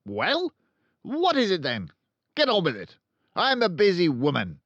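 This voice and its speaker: Storybook villain: bullying headmistress played by a man, high and loud, barked out impatiently, dull recording. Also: huge pitch swings, unhurried, no echo, full character voice. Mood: impatient, brusque. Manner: barking, shouting. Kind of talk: children's storybook read-aloud.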